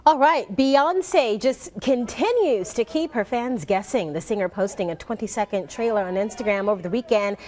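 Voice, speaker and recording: Woman entertainment news anchor, slightly high voice, very animated intonation, casual asides. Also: moderate pace, few pauses.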